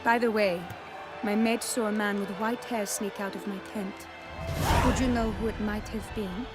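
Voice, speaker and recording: A woman playing a formal, disapproving noblewoman in a game, with a crisp manner. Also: mid-range voice, steady tone, moderate pace, lightly acted. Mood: suspicious. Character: formal noblewoman awarding a tourney prize, disapproving. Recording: music behind the voice. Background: game music.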